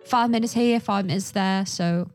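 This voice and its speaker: Woman, medium pitch, casual conversation, faint music behind. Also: normal speed. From Russia. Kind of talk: casual chat.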